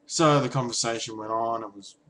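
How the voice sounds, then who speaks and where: A man's voice: mid-range voice, steady tone, fairly quick, a bit bright, slight background noise. A young man casually vlogging about language learning, hesitant and reflective, with many restarts and ums. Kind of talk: casual talking-head vlog.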